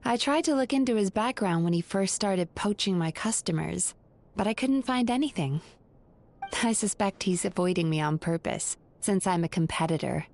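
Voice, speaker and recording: A woman voicing a calm, polite adult woman who runs a hot spring. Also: mid-range voice, moderate pace, close to an everyday voice. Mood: composed.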